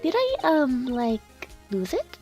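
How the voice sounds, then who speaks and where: A woman's voice: high-pitched, wildly swooping pitch, slow, dark tone, faint music behind, exaggerated voice. A woman playing a coy scheming witness. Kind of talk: fan dub of a game.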